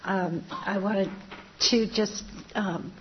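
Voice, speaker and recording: A woman's voice: medium pitch, fairly even intonation, extremely slow, highs cut off, slight background noise. A woman on a school board who is losing her voice, speaking earnestly with frequent ums. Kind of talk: public meeting remarks.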